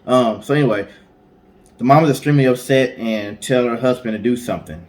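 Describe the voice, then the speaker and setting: A man's voice: mid-range voice, brisk. A man co-hosting a casual storytelling show, speaking conversationally with informal asides. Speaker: co-host.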